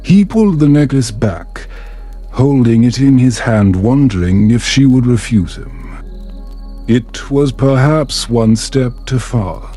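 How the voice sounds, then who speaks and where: Calm guy doing sleepy story narration, really unhurried, soothing, music behind him. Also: medium pitch. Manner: soft, soothing, measured delivery.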